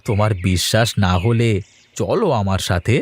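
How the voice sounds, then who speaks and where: A man's voice: lowish voice, normal speed, lightly acted. A man voicing an earnest, obliging elder brother who keeps a village shop in a cartoon. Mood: cheerful, reassuring. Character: dutiful grown elder brother, a village shopkeeper. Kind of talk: Bengali cartoon dub acting.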